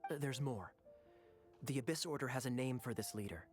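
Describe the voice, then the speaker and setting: Male actor as young knight captain, smooth, sly, teasing, theatrical game dialogue, music behind. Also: mid-range voice, close to an everyday voice. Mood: coy.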